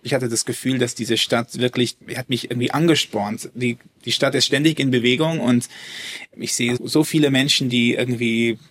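A man's voice: medium pitch, steady tone, normal speed, fairly bright tone. A man, a German native speaker and violinist, talking as a guest in a casual interview, hesitant with many restarts and fillers.

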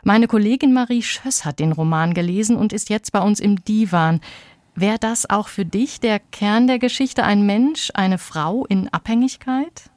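A woman presenting a book programme as radio host, scripted, composed and measured. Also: mid-range voice, a little mellow, dry recording, clean studio sound.

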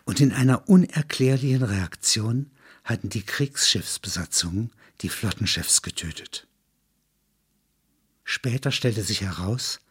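A man reading scripted literary narration in a husky voice. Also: fairly low pitch.

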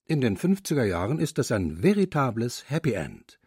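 A man reading the narration of a radio documentary feature in a measured, clear way. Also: medium pitch, sing-song, a little mellow, dry recording, studio quality.

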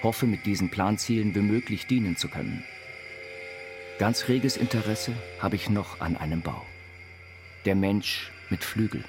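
Male reader for a radio feature, lowish voice, literary text, clear music underneath. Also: measured pace. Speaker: reader of an inventor's writings.

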